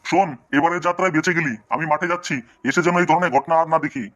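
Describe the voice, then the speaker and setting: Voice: medium pitch, steady tone, quick pace, fairly bright tone, lightly acted. A performer voicing an angry village father in a comic cartoon, stern and berating.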